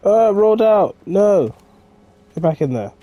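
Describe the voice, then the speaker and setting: A man with a congested voice from a cold, giving relaxed casual commentary while playing a video game. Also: high voice, measured pace, muffled recording, slight background noise. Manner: relaxed, talks to himself. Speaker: gaming streamer.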